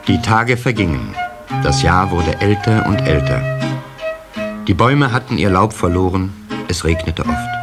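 A man narrating a children's radio play as an expressive storyteller. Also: sits low.

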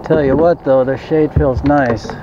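A man's voice: medium pitch, steady tone. A man fishing from a kayak on an outdoor lake, casually commenting to himself and a friend, picked up by an action camera. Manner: talks to himself and his buddy while fishing, quick exclamations. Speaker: fishing vlogger. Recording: dull action-cam audio with water and wind noise.

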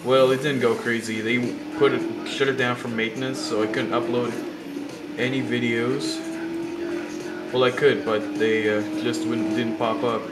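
A man's voice: mid-range voice, level tone, unhurried. A young man with a slight cold and sore throat, rambling through casual gameplay commentary with many fillers and restarts. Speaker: gaming channel host. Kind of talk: casual let's-play commentary. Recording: roomy sound over loud game music. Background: loud game music and engine sounds.

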